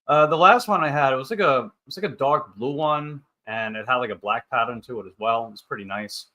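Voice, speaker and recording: Bright-voiced thirty-year-old man chatting casually. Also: mid-range voice, brisk, dull audio. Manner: rambling, upbeat banter with catchphrases. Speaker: livestream host. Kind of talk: casual livestream chat, reading and answering viewer comments.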